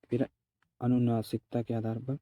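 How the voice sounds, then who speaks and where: A man's voice: mid-range voice, little pitch movement, unhurried, phone-quality sound. A man teaching in a methodical way, reading out lesson notes and explaining them, repeating key terms and examples.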